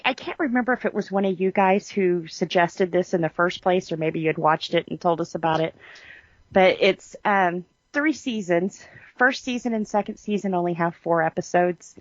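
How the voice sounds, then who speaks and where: A woman's voice: fairly low pitch, no room sound. A woman co-hosting a podcast, talking casually in a chatty, informal storytelling way. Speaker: podcast co-host. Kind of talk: casual chat. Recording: dull, lo-fi sound.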